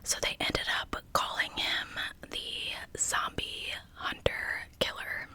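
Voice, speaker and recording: A woman hosting an ASMR channel, narrating in a breathy, intimate whisper with casual asides. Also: slow, bright, forceful tone. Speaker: host of a true crime ASMR channel.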